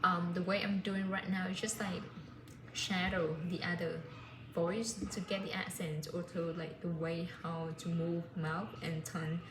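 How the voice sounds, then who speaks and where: A woman chats casually, her speech full of fillers and loose restarts. Her voice sits a little low. The recording sounds echoey, with steady noise in the background. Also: measured pace. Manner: rambling, many fillers and "like". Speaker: language learner vlogger. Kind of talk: casual vlog chat.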